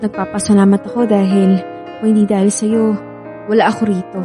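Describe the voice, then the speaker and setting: A woman's voice: medium pitch, little pitch movement, fairly quick, over music, close to an everyday voice. An actress playing a remorseful young woman in tears with a Filipino accent in a radio drama. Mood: remorseful, confessing. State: crying. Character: tearful, remorseful young woman begging an ex back.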